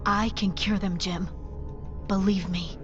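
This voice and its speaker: A woman's voice: medium pitch, fairly even intonation, slow pace, close to an everyday voice. Woman acting earnest colony doctor over music. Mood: pleading. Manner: urgent, imploring. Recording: dull game audio under music.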